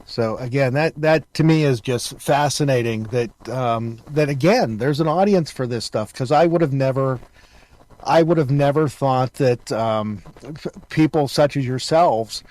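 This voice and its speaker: A man hosts a relaxed interview in a conversational style. His phrasing is halting and reflective, his pitch is average, and the sound is dry with no room echo. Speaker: show host, radio broadcaster.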